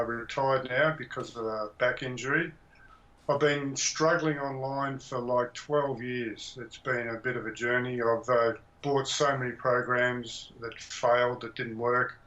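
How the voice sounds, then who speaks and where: A man's voice: medium pitch, steady tone, unhurried, fairly bright tone. A middle-aged retired man giving a plain, modest testimonial with hesitant fillers. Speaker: course student. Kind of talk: testimonial on a call. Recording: dull lo-fi call audio.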